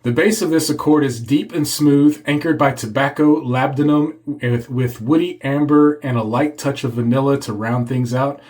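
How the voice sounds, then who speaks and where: A man hosting a casual shaving video, congested and a bit hoarse from a cold, chatting as he lathers. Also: mid-range voice, normal speed, few pauses, darkish tone. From Texas. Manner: chatty, reads product blurb aloud. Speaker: shaving channel host. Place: home office.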